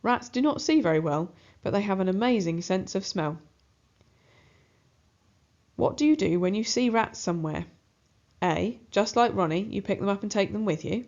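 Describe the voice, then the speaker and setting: A woman narrates a children's story in an expressive read-aloud style. Her pitch is average for a woman. The recording is a little muffled. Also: moderate pace. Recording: slightly dull, muffled recording.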